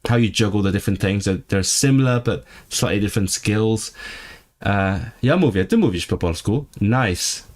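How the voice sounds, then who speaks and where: A man's voice: fairly low pitch, expressive, normal speed. A man who is not a native English speaker, hosting a casual livestream and chatting with his viewers as he reads and answers the live chat. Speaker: livestream host.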